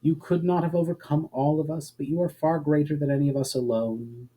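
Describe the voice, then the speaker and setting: A man voicing a calm, regretful elf woman with a formal, measured way of speaking in a fantasy story reading. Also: fairly high pitch, close to an everyday voice, poor audio. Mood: sad, earnest.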